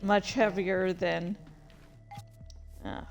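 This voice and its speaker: A woman streamer chatting casually about her own writing in a halting, rambling, self-deprecating way. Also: lowish voice, little pitch movement, vocal fry, soft background music. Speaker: streamer and fan fiction author. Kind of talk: casual livestream chat.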